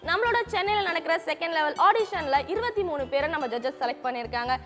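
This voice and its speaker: A woman presents to TV viewers over clear background music. Her delivery is upbeat and sprinkled with English. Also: extremely high pitch, expressive, brisk. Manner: mixes Tamil with English. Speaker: TV show presenter.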